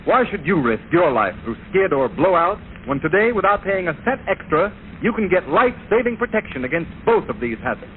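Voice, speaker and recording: A man's voice: high voice, sing-song, a little fast, few pauses, a little hiss or hum. A male radio announcer reading a 1930s commercial with emphatic, declamatory salesmanship. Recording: tinny 1930s radio recording.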